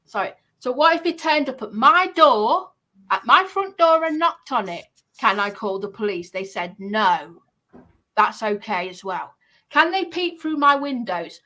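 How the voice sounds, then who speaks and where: A woman's voice: a little high, big pitch swings, measured pace, bright, forceful tone. A woman recounting a grievance in casual conversation, emphatic and indignant, often posing rhetorical questions.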